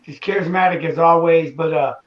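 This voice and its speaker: A man's voice: a little high, steady tone, a little fast, muffled recording. A man who is a comedian on a panel, crude and laughing.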